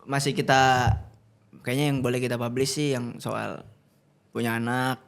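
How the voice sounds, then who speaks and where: A man, a podcast guest telling stories in casual chat, animated and laughing often. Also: medium pitch, measured pace, vocal fry.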